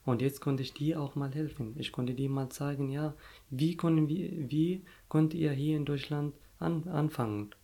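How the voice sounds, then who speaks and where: A man's voice: fairly high pitch, moderate pace, vocal fry, dark tone. A young man whose first language is Arabic, speaking casually in an interview with frequent restarts and fillers as he searches for words.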